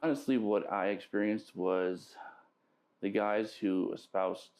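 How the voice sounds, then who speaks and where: A man who served in the military, giving a measured, hesitant interview account with frequent pauses and ums. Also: on the low side, a little mellow.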